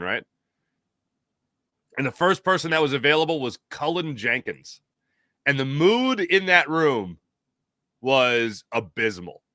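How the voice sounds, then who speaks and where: Bright-voiced American man hosting sports talk. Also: mid-range voice, no room sound, studio quality. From Philadelphia area, United States. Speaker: sports talk show host. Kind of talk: casual sports talk monologue.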